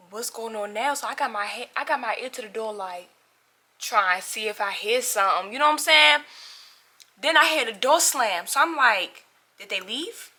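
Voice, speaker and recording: A young adult woman telling a casual, animated story to viewers in a dramatic, slangy way, acting out dialogue as she goes. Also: fairly high pitch. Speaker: storytime vlogger.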